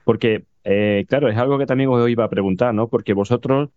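A man's voice: medium pitch, a little fast, no room sound, narrow, tinny audio. A man with an accent from Spain, interviewing casually and a little hesitantly, often adding tag questions. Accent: Spanish from Spain.

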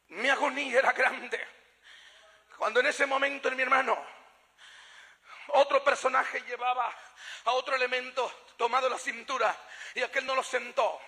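Male preacher, very high-pitched, narrow melodic range, emphatic storytelling testimony, long hall reverb. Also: bright, forceful tone. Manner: emphatic, repeats phrases, acts out dialogue. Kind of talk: fervent sermon testimony, dramatic storytelling.

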